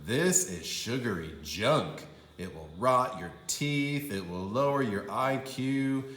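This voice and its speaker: Exasperated storybook dad, performed by a man: scolding, yelling, slow and emphatic, very animated. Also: mid-range voice, roomy sound. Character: exasperated yelling father, alarmed by his daughter's junk food.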